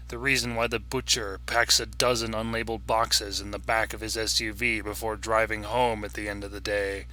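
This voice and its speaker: A man reading a scripted horror story in a deliberate, ominous storytelling manner. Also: lowish voice, bright, forceful tone, rough recording. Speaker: story reader.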